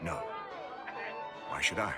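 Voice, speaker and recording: An actor playing a cynical, mercenary physician in a video game, haughty and deliberate as he justifies himself. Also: music in the background, crowd noise, lightly acted. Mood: smug, cold. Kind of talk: dramatic video game acting.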